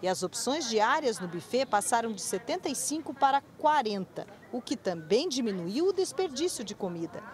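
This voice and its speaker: A woman's voice: on the high side, big pitch swings, normal speed, few pauses. A woman with a Brazilian accent narrating a scripted television news report.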